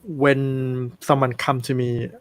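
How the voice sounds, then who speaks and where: A man's voice: medium pitch, level tone, slow, dry recording. A man from Thailand with a Thai accent, hosting a casual chat and telling a story with many hesitations. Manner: hesitant, many fillers and restarts. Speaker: host.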